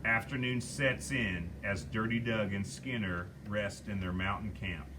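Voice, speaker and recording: A man's voice: on the low side, fairly even intonation, a little slow, noisy background. A man reading stage directions aloud at a table reading and directing the scenes at a film studio.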